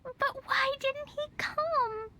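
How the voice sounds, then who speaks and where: A woman's voice: extremely high pitch, very slow, sharp tone, a little hiss or hum, exaggerated voice. A woman voicing a cheerful, innocent, curious little girl in a video game. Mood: puzzled, downcast. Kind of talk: video game character dialogue.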